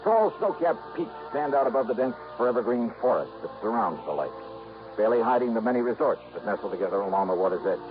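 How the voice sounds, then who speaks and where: A hard-boiled American private investigator played by a male actor from 1950s radio noir, calm and inquisitive with a wry, clipped delivery. The sound is a muffled old broadcast with music clearly underneath. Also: mid-range voice, fast talker, lightly acted. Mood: descriptive, brooding.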